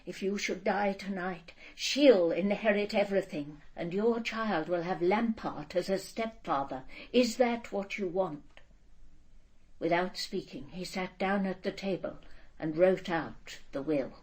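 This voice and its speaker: A woman's voice: on the low side, measured pace, close to an everyday voice, rough recording. A woman playing a proud, cold elderly aristocrat in a radio drama, speaking with haughty, precise control. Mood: controlled, confiding.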